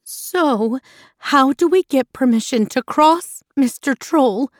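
A woman voicing a gentle mother goat, speaking sweetly and soothingly in a children's story. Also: a little high, very animated, measured pace, gravelly, dry recording, studio quality, full character voice. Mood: worried, brave. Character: gentle, brave mother goat, reassuring her kids.

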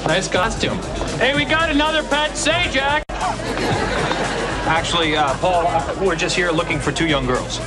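A wry suburban sitcom dad, protective and a little sarcastic. His voice is high for a man and very animated. Music and a loud studio crowd sit behind him. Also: moderate pace, long pauses. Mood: uneasy. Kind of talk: sitcom acting before an audience.

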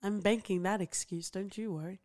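A woman's voice: mid-range voice, steady tone, fairly quick, darkish tone. A young woman co-hosting a podcast, chatting casually about a TV show, laughing often and using lots of fillers. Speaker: podcast co-host.

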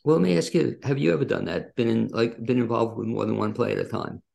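A man hosting a casual interview, asking questions in a halting, backtracking way. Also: medium pitch, creaky, a little mellow. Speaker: interviewer and host.

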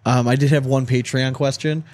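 A man's voice: mid-range voice, fairly even intonation, moderate pace, darkish tone, dry recording. A man hosting a podcast, chatting casually about sports with plenty of banter, slang and swearing. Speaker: podcast host.

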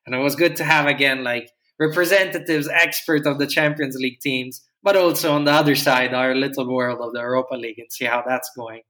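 A man hosts a casual football podcast and introduces his guests. He talks in a loose, rambling way, at an ordinary pitch. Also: fairly even intonation, brisk, hardly any pauses, pushed and bright, poor audio. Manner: loose, rambling, friendly questions. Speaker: podcast host.

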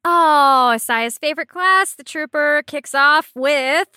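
A woman's voice: high-pitched, slow, pushed and bright, no room sound, clean studio sound. A woman co-hosting a casual podcast about video game characters, with playful, wry and teasing banter. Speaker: podcast co-host.

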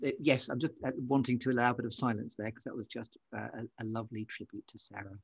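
A man with a mid-pitched, husky voice hosts a casual podcast interview in a chatty way. The recording is dry and a little dull. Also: flat delivery, fast talker, no echo. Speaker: podcast host.

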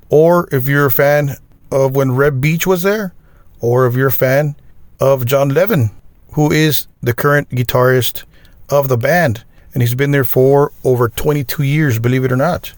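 A man's voice: medium pitch, no room sound. A man hosting a metal podcast interview, agreeable and chatty, with many short yeahs and wows. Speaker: podcast host. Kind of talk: casual interview and outro.

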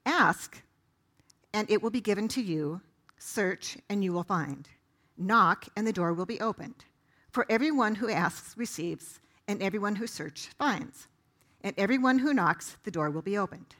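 Woman with a mid-range voice, reading scripture out loud. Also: mid-range voice, lively intonation, unhurried. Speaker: volunteer scripture reader.

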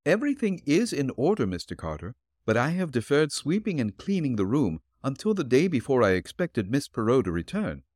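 A man voicing an elderly, refined and gentle landlady in a raised, polite voice. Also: wildly swooping pitch, fairly quick, few pauses, no echo, clean studio sound. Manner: polite, flustered, high put-on voice. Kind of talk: audiobook character reading.

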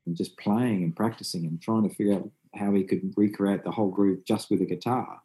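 A man talks about music in an interview in an eager, loose, rambling way, with plenty of "you know" and "and stuff." His voice is very deep and warm. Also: fairly even intonation, fairly quick, rough recording. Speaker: musician in a band, guest. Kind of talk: conversational interview.

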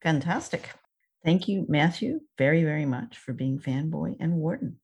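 A woman's voice: low-pitched, normal speed, a little mellow, husky. A woman hosting a podcast, talking casually with a guest as the interviewer.

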